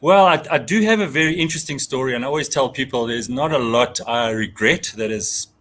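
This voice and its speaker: A man's voice: mid-range voice, a little fast, fairly bright tone. A middle-aged man with a South African accent, a guest telling his life story in a chatty, candid, conversational way. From Johannesburg, South Africa. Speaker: safari guide, interview guest.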